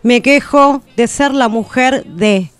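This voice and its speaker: A woman's voice: a little high, slow pace, clean tone. An actress playing an aching yet unbroken, defiant everywoman, reciting in solemn and emphatic poetic radio theatre.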